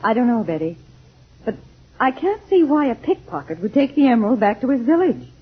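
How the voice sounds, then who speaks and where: An actress playing an anxious, doubting middle-aged mother in an old-time radio adventure, expressive and husky. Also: on the high side, a little fast. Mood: worried. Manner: worried, skeptical, expressive. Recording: muffled vintage radio recording.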